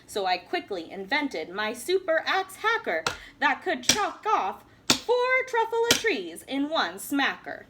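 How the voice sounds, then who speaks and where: A woman teacher reading a rhyming picture book aloud in an animated, sing-song way. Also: high voice, a little slow, background noise. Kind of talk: storybook read-aloud.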